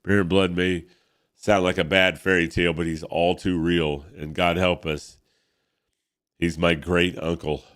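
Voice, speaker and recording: Man reading as a british gentleman with a vampire tale, low voice, smooth, stuffed-up. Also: flat delivery, unhurried, no echo, clean studio sound. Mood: grave. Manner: storytelling read-aloud. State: congested. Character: British gentleman recounting family vampire history.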